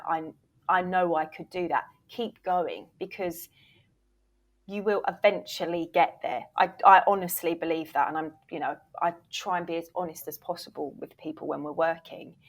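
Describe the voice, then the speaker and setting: Low-pitched woman, emphatic explainer. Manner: emphatic, often voices imagined questions from actors. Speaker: interview guest, voice reel producer and former voiceover agent. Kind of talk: conversational interview explanation.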